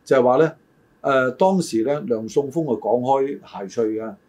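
A man's voice: medium pitch, normal speed, a little mellow, breathy edge. An older man, co-hosting a casual chat and reminiscing in a hesitant, roundabout way. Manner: hesitant, roundabout, hedging his recollections. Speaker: talk show co-host.

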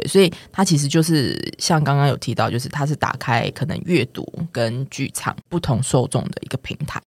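A woman's voice: very deep voice, dry recording. A woman with a Taiwanese Mandarin accent, giving explanatory interview answers in long, loosely chained conversational sentences. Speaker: curator and editor, podcast guest.

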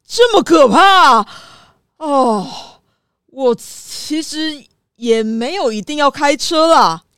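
A woman's voice: high-pitched, lively intonation, slow, a bit bright, dry recording, studio quality, full character voice. A woman with a Taiwanese accent playing a sheepish, defensive male customer at a car rental in a children's radio drama, hesitant and childlike. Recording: music under the voice.

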